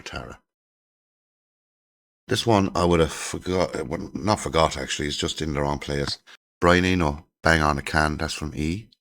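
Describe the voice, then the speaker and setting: A middle-aged man hosts his channel in a loose, rambling chat. His voice sits extremely low, with a gravelly fry, and a cold leaves it croaky. He sounds enthusiastic as he works through his CD collection. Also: fairly bright tone. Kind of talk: casual chat to camera.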